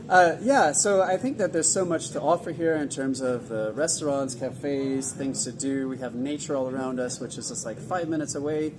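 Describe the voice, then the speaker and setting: Man, event organizer, interviewer, upbeat, clear background noise. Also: mid-range voice, normal speed. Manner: enthusiastic, upbeat. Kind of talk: casual interview.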